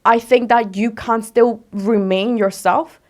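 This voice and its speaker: A woman's voice: fairly high pitch, fairly even intonation, slow pace. Direct, emphatic young Mexican woman. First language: Spanish. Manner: direct, emphatic, rhetorical questions. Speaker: podcast guest. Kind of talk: casual interview talk.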